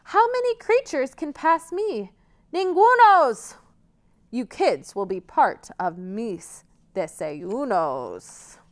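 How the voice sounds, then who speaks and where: Lady doing a grumpy scary giant she-troll from a kids book, scratchy yelling, swoops around a lot. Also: fairly high pitch, big pitch swings, takes it slowly. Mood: roaring, threatening. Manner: roaring, yelling. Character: grumpy gigantic female troll, scary and menacing. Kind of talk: children's storybook character voice.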